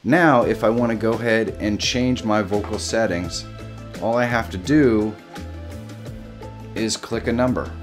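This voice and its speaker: A middle-aged man hosting a casual step-by-step software tutorial. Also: on the low side, measured pace, long pauses, faint music behind. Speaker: tutorial host. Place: small home room, indoors.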